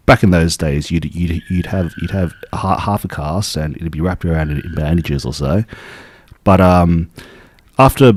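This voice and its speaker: A young man, born in Taiwan, working as an acupuncturist and telling his story in a relaxed, casual interview full of restarts. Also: low voice, dry recording. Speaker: acupuncturist guest.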